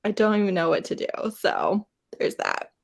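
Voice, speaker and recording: A woman's voice: mid-range voice, wildly swooping pitch, normal speed, gravelly. A woman hosting a casual live group chat about books, with a blunt, playfully teasing manner. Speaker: host of a live readalong.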